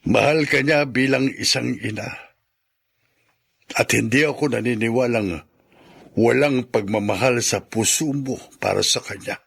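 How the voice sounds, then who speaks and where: An actor playing a grave, compassionate middle-aged elder brother in a radio drama, pleading solemnly in a gravelly, husky voice. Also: male voice, medium pitch, a little slow, a bit bright, heavily acted. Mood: solemn, earnest. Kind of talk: Filipino radio drama acting.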